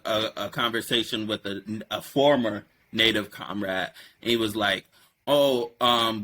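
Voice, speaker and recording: A man hosting a casual political discussion, talking in a rambling, animated way with many fillers. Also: medium pitch. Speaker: podcast host.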